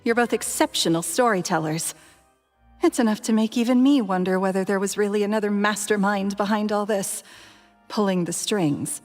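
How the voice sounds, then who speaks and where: A woman's voice: mid-range voice, a little mellow, lightly acted. An actress playing a sweetly evasive, calculating young woman in a video game, politely mocking. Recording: game audio with reverb and music.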